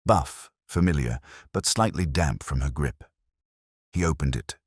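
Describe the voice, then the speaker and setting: Very deep-voiced male story narrator. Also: expressive, unhurried, slightly rough, dry recording, studio quality. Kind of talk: scripted mystery story narration.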